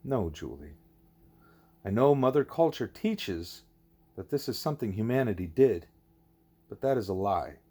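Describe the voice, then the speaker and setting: A man voicing a wise, patient gorilla teacher in an audiobook, deliberate and didactic, leaning on key words. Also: on the low side, very animated, mellow, husky, exaggerated voice. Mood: emphatic, grave.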